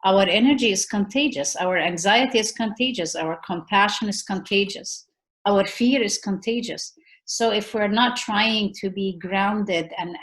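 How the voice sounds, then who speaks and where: A middle-aged woman with a Middle Eastern accent, speaking earnestly on a video call from home and often pausing to search for words. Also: medium pitch. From Middle East. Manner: earnest, searching for words with many fillers and restarts. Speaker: guest, peace activist. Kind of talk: reflective conversation. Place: room at home. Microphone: laptop mic on a video call.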